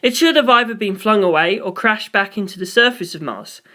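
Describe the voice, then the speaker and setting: A man's voice: high voice, lively intonation, brisk, pushed and bright. A man reading scripted first-person story narration.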